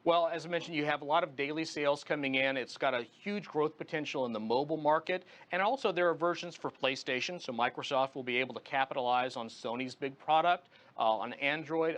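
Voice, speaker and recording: Guy as a news guest analyst, voice a bit on the high side, explains stuff point by point. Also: fairly bright tone. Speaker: guest commentator. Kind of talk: live news interview commentary.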